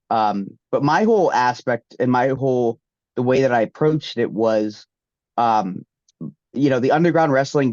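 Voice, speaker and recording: A man's voice: medium pitch, a little mellow, no room sound. A man, congested from a recent flu. He rambles through his answers with plenty of ums and restarts. The sound is dull, lo-fi and dry. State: congested, getting over the flu. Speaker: guest wrestler. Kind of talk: casual interview answers.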